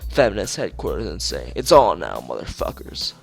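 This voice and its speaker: A man's voice: sits low, wildly swooping pitch, moderate pace, gravelly, slightly rough, soft background music, full character voice. Man doing a paranoid, melodramatic young schoolboy ranter. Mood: paranoid, intense. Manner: melodramatic, urgent, pleading. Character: paranoid, ranting young male conspiracy theorist schoolboy. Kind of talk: reading visual novel dialogue aloud.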